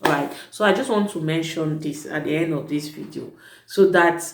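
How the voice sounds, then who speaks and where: A woman's voice: deep voice, normal speed, vocal fry. Chatty woman vlogger in an echoey room. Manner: chatty, emphatic, often corrects herself mid-sentence. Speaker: vlogger advising prospective students; teacher at an international school. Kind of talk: casual advice vlog.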